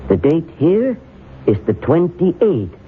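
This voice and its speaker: A knowing professor in an old radio play, measured and dryly amused, with a lively lilt. The sound is narrow and phone-like. A faint hiss sits behind it. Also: male voice, on the high side. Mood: calm. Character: calm knowing male professor of the occult. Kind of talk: 1970s radio drama acting.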